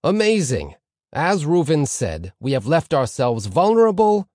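A man voicing a pompous older rabbi teacher in an audiobook story, declaiming with dramatic pauses and rising questions. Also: fairly high pitch, wildly swooping pitch, a little mellow, no echo, clean studio sound, pitched above the performer's own voice. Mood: declaiming, questioning.

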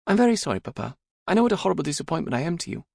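Impudent tomboy daughter, twenty, played by a male narrator, raised pitch, playful defiance, storybook drama. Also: big pitch swings, racing, gravelly, darkish tone, no room sound, studio quality, full character voice. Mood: mock contrite. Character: spirited, impudent twenty-year-old daughter, mischievous tomboy.

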